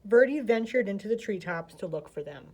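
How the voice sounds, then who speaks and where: A woman's voice: medium pitch, lively intonation, a little fast, a little mellow, slightly rough, low-quality recording. An American woman narrating a children's picture book expressively as a storyteller.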